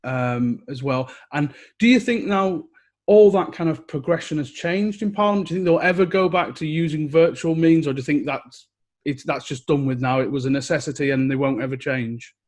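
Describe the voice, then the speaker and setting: A man's voice: fairly high pitch, normal speed, darkish tone. A man hosting a podcast interview, putting casual questions in a tentative, piecemeal way.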